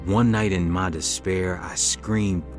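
A man's voice: low-pitched, fairly even intonation, slow pace, over music. An actor playing a troubled young man who tells his testimony earnestly in a scripted radio drama. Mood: somber, reflective.

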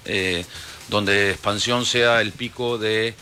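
A Peruvian man in his forties, a football club executive answering radio interview questions in a measured, explanatory way with many fillers. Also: on the low side, fairly even intonation, sharp tone, slight background noise. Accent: Peruvian Spanish. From Peru. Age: 40s.

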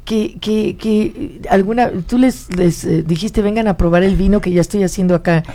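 A woman's voice: mid-range voice, moderate pace, mellow. A woman hosting a casual interview, chatty and affirming, circling her way into questions. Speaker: interviewer, show host.

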